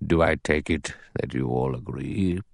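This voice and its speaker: A man voicing an elderly male judge who speaks in a measured, precise, quietly authoritative way. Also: a bass voice, no room sound, studio quality, pitched below the performer's own voice. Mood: grave, commanding. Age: older.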